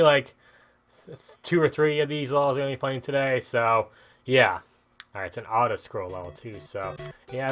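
A man with an average-pitched voice hosts a gaming video. He comments casually and rambles, reacting aloud to what is in front of him. Game music plays behind his voice. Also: fairly bright tone.

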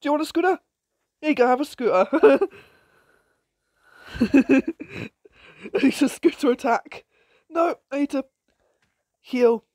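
A woman casually commenting on her own gameplay with a croaky voice, giggling and exclaiming often. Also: on the high side, no room sound, clean studio sound. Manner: giggly, excitable, frequent laughs and exclamations.

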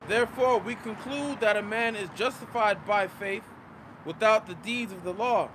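A man's voice: sits high, moderate pace. A man on a city street who reads verses aloud emphatically and quizzes the people listening. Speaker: street preacher reading scripture. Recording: street traffic noise.

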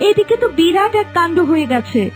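A woman's voice: very high-pitched, animated, fast talker, soft background music. A woman playing a spiteful, scheming young village daughter-in-law in a cartoon, scolding and dismissive. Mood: feigned concern. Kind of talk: cartoon voice acting.

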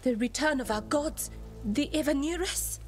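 An actress playing an earnest, self-critical expert in ancient magic in a video game cutscene. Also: female voice, sits high, normal speed, faint music behind, natural voice. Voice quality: earnest, serious.

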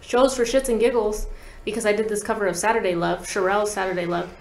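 A woman's voice: medium pitch, fairly even intonation, fast talker. A woman in her thirties chatting casually as an interview guest, telling anecdotes in slangy language and acting out quoted dialogue. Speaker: guest musician, talk box player. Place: small podcast studio, indoors. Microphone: desk microphone. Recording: roomy studio echo.

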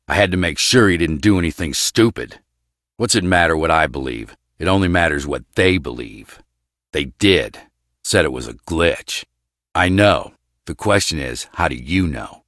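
A very deep, gruff, guarded scientist. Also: male voice, normal speed, dry recording, clean studio sound. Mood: grave. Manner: terse, clipped, deadpan. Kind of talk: video game voice acting.

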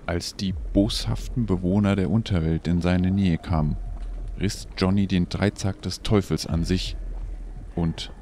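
A man's voice: low voice, fairly even intonation, measured pace, warm tone, a little hiss or hum. A man playing the ominous storyteller of a pulp crime tale in a scripted German audio drama. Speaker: narrator.

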